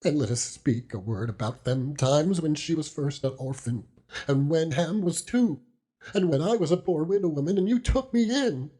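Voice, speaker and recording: A man's voice: a little high, animated, normal speed, mellow, husky, pitched above the performer's own voice. A man voicing a humble, grieving widow in an audiobook, speaking tender rustic dialect as she pleads and consoles. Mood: tearful, tender pleading. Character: grieving, self-reproaching old widow woman, humble and tender. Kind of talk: dramatised audiobook character reading.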